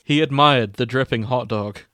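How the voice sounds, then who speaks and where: A man's voice: medium pitch, normal speed, bright, forceful tone, no echo. Giggly male podcast narrator, dry sound. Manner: giggly, often breaking up while reading. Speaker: podcast host reading as narrator. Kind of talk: comic fan fiction reading with casual banter.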